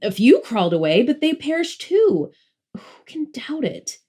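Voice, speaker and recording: A woman's voice: high voice, big pitch swings, unhurried, mellow. Lofty, dogmatic woman, played by an actress. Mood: stern, disbelieving. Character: dogmatic, dismissive mother devoted to the machine. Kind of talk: dramatic audiobook dialogue and oratory.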